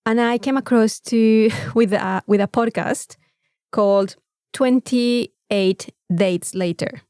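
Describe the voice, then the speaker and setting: A woman's voice: on the high side, slow pace, warm tone, smooth, no room sound, clean studio sound. A woman with a Mexican accent, co-hosting a casual podcast chat in an informal, rambling, sweary way. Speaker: podcast co-host.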